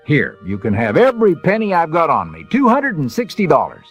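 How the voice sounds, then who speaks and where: An exuberant middle-aged dad and gadget inventor in a storybook dramatization. He has a folksy salesman's eagerness, a fairly high voice and hugely swooping intonation. There is light music underneath. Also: wildly swooping pitch, fairly quick, breathy edge, heavily acted. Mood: eager, bargaining.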